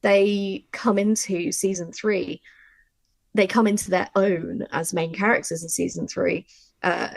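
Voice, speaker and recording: Woman, workshop presenter, informal and animated explainer. Gravelly edge to the voice, very dry recording. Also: mid-range voice, a little slow, no echo. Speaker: audio drama writer and workshop presenter.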